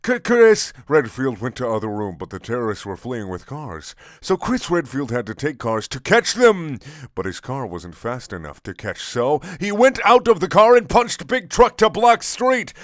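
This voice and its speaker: A man's voice: medium pitch, very animated, moderate pace, no room sound, clean studio sound. A man narrating a comic action story in stilted, broken English with dropped articles.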